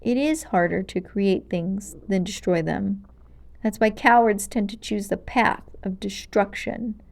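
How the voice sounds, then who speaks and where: Heroic man, as read by a woman, middle pitch, firm, dry, exasperated, defiant, storybook adventure. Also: measured pace, gravelly. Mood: firm, scornful.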